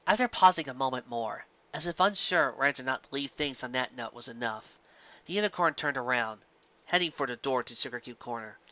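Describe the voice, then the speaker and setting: Fan-fiction narrator, bright, forward tone, scripted storytelling, somewhat muffled lo-fi home recording. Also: medium pitch, lively intonation, brisk, no room sound.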